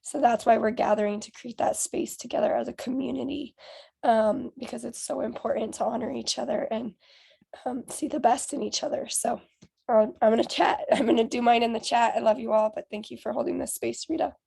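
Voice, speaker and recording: A woman who has lost her voice, speaking hoarsely and earnestly on a video call. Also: on the high side, steady tone, fairly quick, warm tone. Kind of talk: casual video-call speech.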